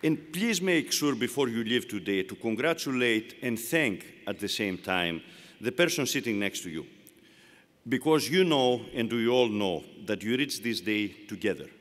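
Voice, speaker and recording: A man's voice: medium pitch, lively intonation, a bit bright. Greek-accented man speaking in a big hall. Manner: measured, rhetorical, phrase-by-phrase delivery. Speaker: university leader giving commencement address. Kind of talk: formal commencement speech. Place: large hall. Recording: long reverb of a large hall.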